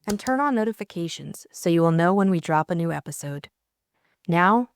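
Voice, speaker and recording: A woman's voice: fairly low pitch, no echo, studio quality. A woman delivering a scripted introduction as an audiobook host.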